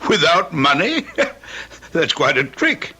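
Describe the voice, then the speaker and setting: An actor playing a stern, tight-fisted middle-aged father and lawyer in a radio drama, curt and deliberate. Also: fairly high pitch, big pitch swings, pushed and bright, a little hiss or hum, heavily acted. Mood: scornful, stern. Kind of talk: old-time radio drama acting.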